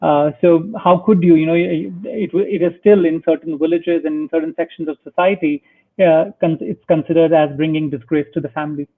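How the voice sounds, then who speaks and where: A man's voice: a little high, fairly even intonation, fairly quick. A man from India, a guest in a remote interview, speaking in a friendly way with frequent hesitations and fillers. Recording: tinny phone-like line.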